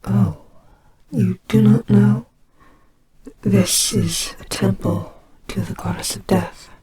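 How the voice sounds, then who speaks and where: Over clear background noise and ambience, a gentle goddess of death played by a woman speaks in an amateur audio roleplay. Her delivery is very unhurried, soft and breathy. She sounds soothing and protective, reassuring a child with careful, hesitant phrases. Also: medium pitch, lightly acted. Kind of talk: slow dramatic audio roleplay. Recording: clearly noisy amateur home recording. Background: ambience sound effects.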